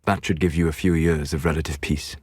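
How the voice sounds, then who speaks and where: A male actor playing a learned, grave and dryly scornful elven mage in a video game, speaking in measured, formal, articulate lines. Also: very deep voice, fairly even intonation, slightly rough, no echo, studio quality. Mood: measured, solemn. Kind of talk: dramatic game dialogue lines.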